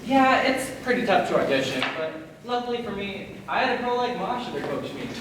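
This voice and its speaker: A man's voice: high voice, sing-song, a little fast. A young man playing a brash, dim, showy aspiring actor in a school stage comedy. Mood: cocky, showy. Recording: echoey stage, distant mic, noisy.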